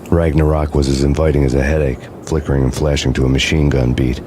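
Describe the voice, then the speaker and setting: An actor playing a weary male detective who narrates a brooding noir voice-over in a deadpan tone. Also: rumbling low, fast talker, background noise. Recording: game audio with loud music.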